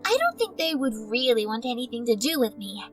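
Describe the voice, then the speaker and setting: A young cartoon creature girl who is new in town. She is guarded and dryly polite. Her high voice moves with lively pitch, over faint music. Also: brisk. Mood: wistful. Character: young female newcomer girl creature, guarded, dry, well-spoken. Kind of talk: animated audio drama acting.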